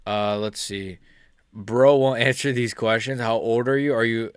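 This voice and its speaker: A man's voice: medium pitch, steady tone, unhurried, clean tone, no echo, studio quality. Laid-back young man rambling on a stream. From United States. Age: 20s. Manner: rambling, filler-heavy, laughs often. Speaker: MMA YouTuber streaming live. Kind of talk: casual live-stream chat reading chat questions.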